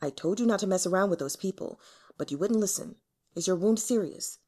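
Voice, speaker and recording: A woman's voice: mid-range voice, expressive, fairly quick, warm tone, lightly acted. A woman reads as a stern man of authority in an audiobook. He is serious and terse, with clipped, commanding phrases and a scolding edge. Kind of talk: audiobook character voice.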